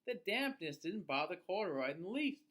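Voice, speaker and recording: A man's voice: high voice, big pitch swings, moderate pace, a bit bright. A man narrating a children's storybook aloud like a teacher, expressively and with small reactions for young listeners.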